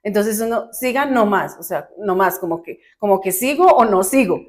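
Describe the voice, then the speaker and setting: A woman from Bogotá with a Colombian accent chats as a podcast guest. She is animated and talkative, laughing easily and correcting herself as she goes. Her voice sits at a middle pitch. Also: normal speed. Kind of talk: casual chat.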